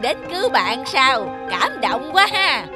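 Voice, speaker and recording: A man's voice: very high-pitched, sing-song, slow, music in the background, heavily acted. A man voicing a bullying grey rat villain in a cartoon, with a taunting, drawling manner. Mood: gloating menace.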